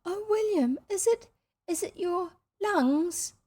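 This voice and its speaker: Woman as a high-voiced, innocent little girl. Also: animated, slow, mellow, exaggerated voice. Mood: anxious sympathy. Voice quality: high, girlish. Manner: earnest, tender, wide-eyed. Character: sweet, innocent, anxious little girl next door.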